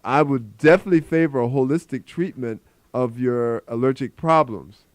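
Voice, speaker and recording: A man talks as the doctor on a call-in radio health program. His delivery is relaxed and friendly, mid-pitched. The studio sound is close and dry. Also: normal speed, no room sound.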